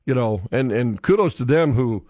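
A man's voice: medium pitch, animated, moderate pace, darkish tone, dry recording, muffled recording. Rambling man on morning radio. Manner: rambling, self-interrupting, laughs often. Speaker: radio show co-host. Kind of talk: casual morning radio chat.

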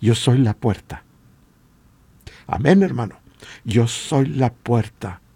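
A man with a Latin American Spanish accent and a husky voice, preaching informally and emphatically with repeated rhetorical questions. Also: medium pitch, takes it slowly, dark tone. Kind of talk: informal exhortation sermon.

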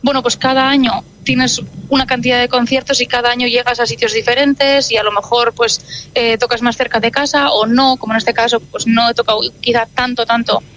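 Basque-native woman singer, high voice. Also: fairly quick, a little hiss or hum, low-quality recording. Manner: relaxed, thoughtful, many filler words. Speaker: jazz singer. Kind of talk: radio interview answers.

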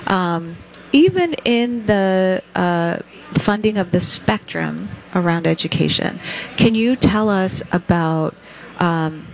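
Interviewer, woman, lower-pitched, encouraging, keeps affirming the guest. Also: animated, takes it slowly, creaky, poor audio. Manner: warm affirming, frequent encouraging backchannels. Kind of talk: conversational interview.

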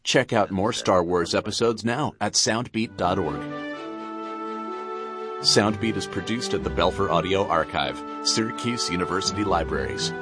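A male radio announcer reads a scripted promo in a very deep voice. His delivery is smooth and even, and loud music plays under him. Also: moderate pace.

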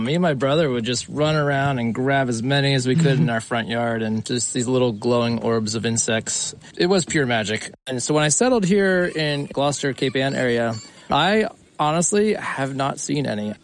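A man, a biologist, nostalgically recalling childhood summers in an interview clip. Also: mid-range voice.

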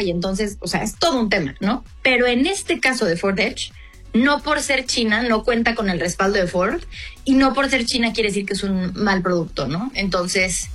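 A talkative woman chats as a car show co-host, circling her point with fillers and restarts. Her voice sits at an ordinary pitch for a woman. Soft music plays faintly behind her. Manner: talkative, thinks aloud with frequent fillers and restarts. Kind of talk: casual conversation on a car talk show.

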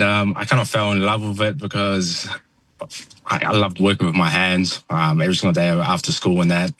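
A young man from New Zealand with a New Zealand accent, a soft-spoken, halting radio interview guest who fills pauses with ums. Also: sits low, slightly rough, dry recording. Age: young adult. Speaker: guest landscaper.

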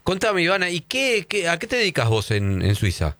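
An Argentine man hosting a radio interview, chatty with quick reactions. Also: a little high, wildly swooping pitch, a bit bright, no room sound. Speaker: radio host.

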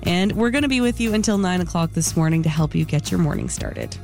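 A woman's voice: fairly low pitch, big pitch swings, fast, gravelly, darkish tone, faint music behind. A Canadian woman hosting a morning radio show, slightly sniffly from a cold, reading from a script. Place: radio studio.

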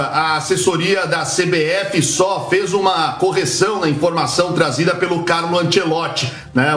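High-voiced Brazilian sports reporter, a man. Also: fairly bright tone. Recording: roomy echo, music bed behind. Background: background music.

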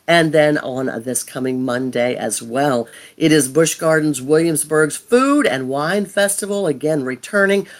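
A man's voice: on the high side, fairly bright tone. A man hosting on the radio, chatting casually through a promo with personal asides. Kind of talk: casual on-air promo talk.

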